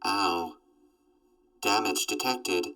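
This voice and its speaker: A man's voice: low-pitched, drawn-out words, heavy background noise. A man voicing an emotionless, gloomy robot assistant that refuses orders in formulaic machine phrasing, in a scripted audio comedy drama. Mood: emotionless, bleak.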